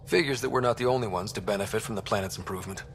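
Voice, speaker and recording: A man's voice: fairly low pitch, rapid-fire, gravelly, a little hiss or hum. An actor playing a young, easygoing male human explorer in a video game, casual and level-headed with dry delivery. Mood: easygoing, confident. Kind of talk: video game cutscene acting.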